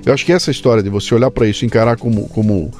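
A man's voice: on the low side, racing, darkish tone, faint music behind. Man hosting a casual interview. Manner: informal, slangy, emphatic. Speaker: podcast host.

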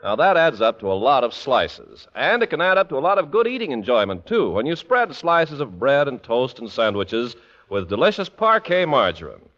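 A man's voice: mid-range voice, very animated, normal speed, a bit bright. A man announcing on a 1940s radio show with a polished, emphatic salesman's delivery. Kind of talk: 1940s radio commercial and scripted narration. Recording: muffled vintage radio recording.

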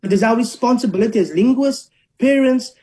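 An academic talks through ideas in a measured, lecturing way. The voice is mellow and warm rather than bright, and sits at a middle pitch. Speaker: linguist and academic. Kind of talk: explaining in an interview.

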